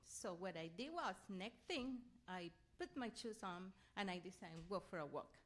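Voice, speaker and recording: A middle-aged or older woman from Venezuela, a native Spanish speaker with a Venezuelan accent, giving an animated personal inspirational talk as a guest speaker. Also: medium pitch, moderate pace. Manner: animated storytelling, often acting out her own reactions; laughs readily while talking.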